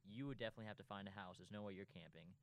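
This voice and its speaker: A young man with a slightly raspy voice, a guest chatting casually on a podcast and telling stories with easy laughs. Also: lowish voice, rapid-fire, a little hiss or hum, low-quality recording. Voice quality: slightly raspy after a festival. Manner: relaxed, laughs easily, storytelling. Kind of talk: casual chat.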